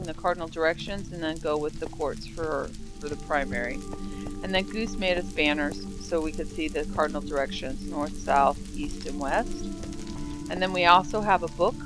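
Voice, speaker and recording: A woman giving casual, rambling gameplay commentary while touring her builds, a little congested from sinus trouble. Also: on the low side. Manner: rambling, thinking aloud. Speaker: game server builder. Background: background music.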